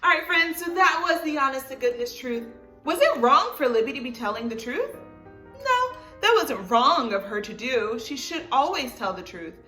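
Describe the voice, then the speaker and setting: Bright-voiced woman reading at storytime, slightly distant. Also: high voice, big pitch swings, moderate pace, soft background music. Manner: encouraging, addresses young listeners as friends. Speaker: teacher reading a storybook aloud. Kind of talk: expressive storytime read-aloud for children.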